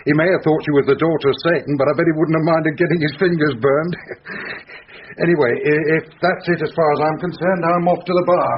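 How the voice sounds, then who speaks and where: An actor playing a gossipy, brash businessman, a rough diamond, in a radio drama. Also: a little high, fairly even intonation, a little fast. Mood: brash, knowing. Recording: dull radio recording, faint noise.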